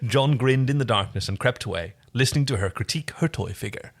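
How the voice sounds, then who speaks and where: A playful, expressive man co-hosting a podcast, reading fan fiction aloud and chatting casually. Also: medium pitch, fast. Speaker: podcast co-host and reader.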